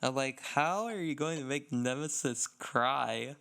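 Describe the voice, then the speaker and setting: A man's voice: medium pitch, a little slow, gravelly, fairly bright tone, smooth. A man co-hosting a gaming podcast in casual chat, feeling his way through sentences with lots of fillers. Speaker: podcast co-host.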